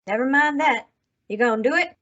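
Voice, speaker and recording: Woman playing a high-voiced hard frontier widow. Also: animated, fairly quick, a bit bright. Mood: bitter, defiant. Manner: curt, rustic, demanding. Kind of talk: old-time radio western acting. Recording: dull lo-fi radio drama recording.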